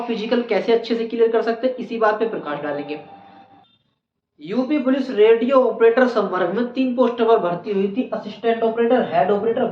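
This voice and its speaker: Roomy lo-fi sound, faint noise, channel host, instructional explainer, emphatic repetition of key points. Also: medium pitch. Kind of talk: explainer on exam procedure. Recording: echoey room, dull lo-fi, faint noise.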